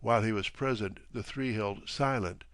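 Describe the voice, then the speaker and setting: A man reading period fiction as an audiobook narrator, in expressive scripted narration. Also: lowish voice, normal speed, no echo.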